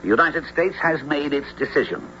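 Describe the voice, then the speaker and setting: A high, forceful American government official. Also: male voice, animated, moderate pace. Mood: grave, formal. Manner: formal, emphatic, persuasive. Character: earnest, insistent American Secretary of the Marine. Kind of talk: radio drama acting. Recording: dull, noisy radio drama recording.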